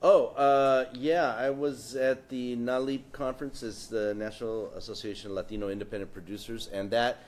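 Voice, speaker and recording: A man on a discussion panel, spinning lively stories about his start and career in a mid-pitched, everyday voice. He often backs up and restarts mid-sentence, tossing in asides as he goes. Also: moderate pace, hardly any pauses. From San Diego, United States. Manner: animated storytelling with frequent restarts and asides. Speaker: panelist, artist. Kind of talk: panel conversation, anecdotes.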